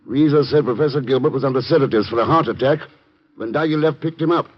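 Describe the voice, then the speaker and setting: An actor playing a shrewd, cool intelligence agent in an old radio drama, clipped and matter-of-fact. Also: male voice, mid-range voice, quick pace, lightly acted. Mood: probing, suspicious. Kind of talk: 1950s radio drama acting. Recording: muffled 1950s radio recording.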